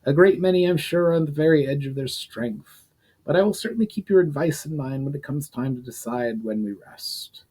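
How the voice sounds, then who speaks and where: A man voicing an aged, loyal army commander, courteous and measured, in a fantasy audiobook. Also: on the high side, a little mellow, breathy edge. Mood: apologetic, firm.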